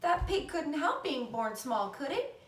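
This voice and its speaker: Woman acting a high-pitched, pleading little farm girl. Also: very animated, measured pace. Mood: tearful pleading. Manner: pleading, protesting. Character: passionate, indignant eight-year-old farm girl pleading. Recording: roomy echo, distant mic.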